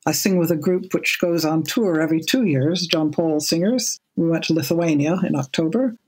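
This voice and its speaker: A woman in her seventies with a low speaking voice, speaking as a guest on a podcast. She talks in a measured, thoughtful way and often repeats a word as she restarts a sentence. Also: a little mellow, slightly rough, rough recording. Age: 70s. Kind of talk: casual podcast interview.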